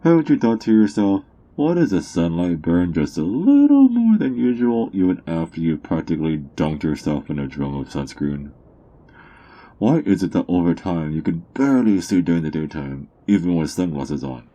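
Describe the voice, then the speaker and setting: A man playing a sly, mocking underground drug dealer in an ASMR roleplay, teasing and smug, with theatrical drawn-out words. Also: low voice, sing-song, mellow, muffled recording. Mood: menacing, gloating. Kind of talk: ASMR character roleplay monologue.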